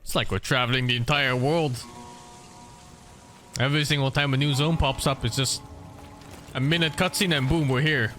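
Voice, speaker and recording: A man giving casual, excitable, sweary commentary as he plays a video game. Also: medium pitch, moderate pace, pauses often. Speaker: game streamer. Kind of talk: casual live gameplay commentary. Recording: game music behind the voice.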